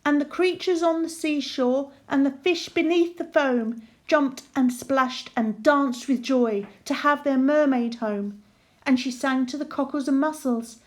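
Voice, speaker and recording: A woman narrating a rhyming children's storybook aloud in a measured, sing-song way. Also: high-pitched.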